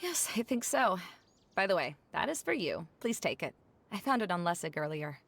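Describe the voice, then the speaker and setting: A woman playing a gentle, thoughtful scholar with a playful streak in a video game, reflective and lightly teasing. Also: on the low side, sing-song, normal speed, vocal fry, full character voice.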